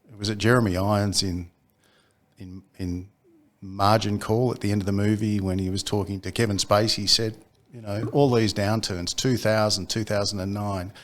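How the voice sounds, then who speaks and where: Man, very low voice, CEO guest, measured, halting with ums. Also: steady tone. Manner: measured, frequent ums and repeated words. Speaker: company CEO, podcast guest. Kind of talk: casual business chat.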